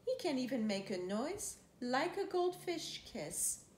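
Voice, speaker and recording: Animated high-voiced woman reading to kids. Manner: expressive, drawn-out words and imitated noises. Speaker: storyteller reading a children's book. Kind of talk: animated picture-book read-aloud with playful sound effects. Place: living room couch, indoors. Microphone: camera a few feet away. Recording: roomy, echoey sound with faint noise.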